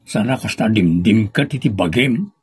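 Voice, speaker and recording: An actor playing a grumbling, defensive old husband in an audio comedy drama, with drawn-out scolding retorts. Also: male voice, on the low side, expressive, darkish tone, breathy edge, no room sound, studio quality. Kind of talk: comedy radio-style drama acting.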